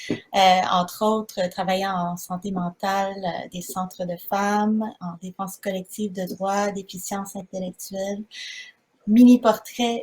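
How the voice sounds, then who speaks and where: A woman moderating the chat, welcoming a video call audience with a warm, listing manner and a few fillers. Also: medium pitch. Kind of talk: brief welcome and overview.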